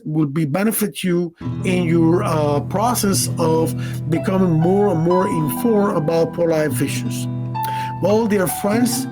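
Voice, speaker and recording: A man's voice: sits high, low-quality recording. A man from Cuba, giving a slide presentation as a director of education. He speaks earnestly, pressing hard on single words, and stumbles into fillers and restarts when reading out details.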